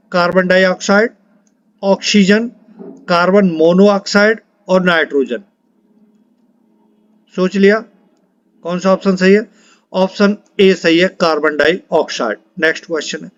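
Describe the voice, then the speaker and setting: High-pitched man teaching. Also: a little slow, pauses often, no echo, highs cut off. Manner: instructional, repeats questions and answers for emphasis. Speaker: exam coaching teacher. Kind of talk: reading out multiple-choice quiz questions and answers to students.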